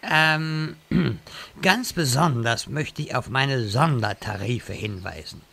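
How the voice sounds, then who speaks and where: A man's voice: mid-range voice, takes it slowly, gravelly, pushed and bright, heavily acted. An actor playing an old wizard who sells portal services, with pompous speeches and salesman patter in a comedic radio play. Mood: salesy. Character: old male wizard turned hustling locksmith, pompous.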